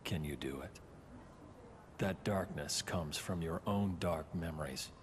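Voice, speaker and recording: Very deep, coldly menacing villain. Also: male voice. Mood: cold taunting. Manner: slow, deliberate taunting. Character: calm, menacing male villain, coldly taunting. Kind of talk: video game cutscene acting. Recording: long reverb, noisy game ambience.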